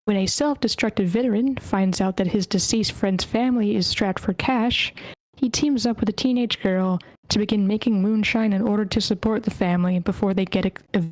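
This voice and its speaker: A woman's voice: medium pitch, brisk, a little mellow, no room sound, low-quality recording. A woman hosting an online script table read, chatting casually in an informal, playful way. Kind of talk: casual chat, moderating an online meeting. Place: online voice meeting, dry close sound.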